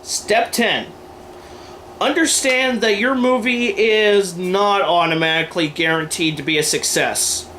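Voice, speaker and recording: A man's voice: high voice, steady tone, measured pace, bright, forceful tone, slight background noise, poor audio. A man delivering opinion commentary to camera in an emphatic, wordy, informal way, like a host going through a list. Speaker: video host and aspiring filmmaker.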